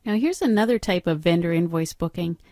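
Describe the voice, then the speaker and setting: A woman's voice: fairly low pitch, a little fast, mellow, no room sound, studio quality. A middle-aged woman narrating a screen-recorded training walkthrough, explaining each step in a matter-of-fact instructional way. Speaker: software trainer.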